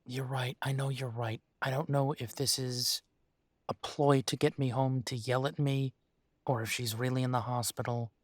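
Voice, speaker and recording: A man's voice: medium pitch, flat delivery, no room sound, clean studio sound, natural voice. Man acting a weary, exasperated werewolf boyfriend. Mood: resigned, doubtful. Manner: exasperated, halting, sighing delivery. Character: weary, conflicted male werewolf arguing tenderly with his mate. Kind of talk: intimate roleplay audio drama.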